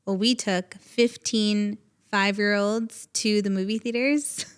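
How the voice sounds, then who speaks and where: A woman's voice: mid-range voice, fairly even intonation, slow, smooth. A woman in her thirties co-hosting a podcast in casual chat, with a bubbly, smiley and friendly manner. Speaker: podcast co-host.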